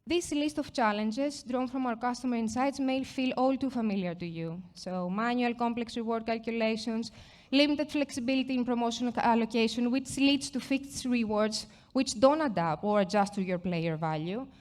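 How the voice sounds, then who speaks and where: A woman's voice: on the high side, normal speed, darkish tone. A woman from Greece with a Greek accent, giving a conference product presentation in a chatty, self-deprecating way with frequent fillers and restarts. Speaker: product marketing manager presenting. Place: conference stage.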